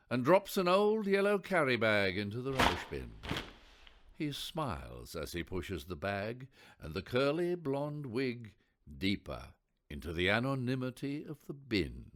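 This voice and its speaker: Animated male radio drama narrator. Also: mid-range voice.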